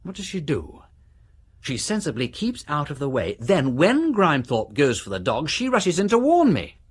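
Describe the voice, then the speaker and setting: An actor playing a breezy, witty gentleman detective with an upper-class English accent in a British radio drama. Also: fairly high pitch, wildly swooping pitch, moderate pace, husky, exaggerated voice. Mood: brisk, jaunty. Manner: breezy, jaunty, exclamatory.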